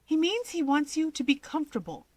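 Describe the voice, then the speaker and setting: A woman's voice: sits high, very animated, brisk, no room sound, voice pushed up. A woman voicing an anxious, exasperated young female schoolteacher and big sister in a story reading. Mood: anxious, placating. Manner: exasperated, defensive.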